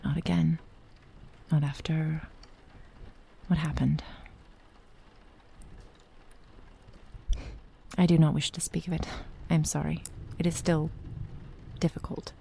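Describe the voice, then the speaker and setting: Low, breathy ancient witch, acted by a woman. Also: flat delivery, full character voice. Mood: pained, tender. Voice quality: soft, breathy, often dropping to a whisper. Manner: slow, formal archaic phrasing, deliberate pauses. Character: ancient possessive witch, tender, wary, loving mentor. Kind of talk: ASMR roleplay, soft intimate acting.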